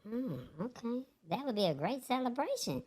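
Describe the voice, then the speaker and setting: A woman's voice: fairly high pitch, animated, moderate pace, darkish tone. A woman hosting a live show in a casual, chatty style. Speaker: show host.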